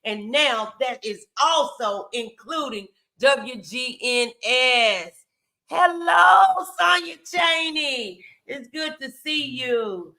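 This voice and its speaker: Bright-voiced woman hosting motivational talk. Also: sits high, lively intonation, takes it slowly. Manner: emphatic, encouraging, addresses viewers directly. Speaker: talk show host.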